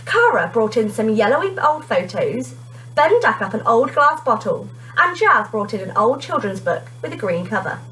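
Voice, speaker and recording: A woman teacher reading a children's storybook aloud in an expressive narrating style. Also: fairly high pitch, slight background noise, rough recording.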